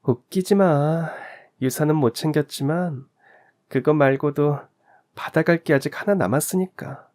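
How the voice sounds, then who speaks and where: A man's voice: fairly high pitch, darkish tone, voice pushed up. A man voicing a scornful, scheming birth mother who taunts and mocks in a storybook reading. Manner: mocking, smug, taunting.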